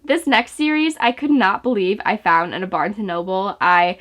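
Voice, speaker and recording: A woman's voice: mid-range voice, normal speed, fairly bright tone. A young woman in a casual book haul vlog, speaking from home by her bookshelves, slightly stuffy from a sore throat and gushing with enthusiasm. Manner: gushing, enthusiastic, chatty with fillers. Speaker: book vlogger. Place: home room in front of bookshelves.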